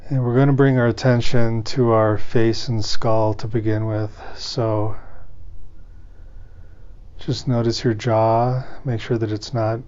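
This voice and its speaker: A man leading a guided meditation as its teacher, giving gentle instructions in short, unhurried phrases with pauses. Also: medium pitch, little pitch movement, clear, resonant tone, highs cut off.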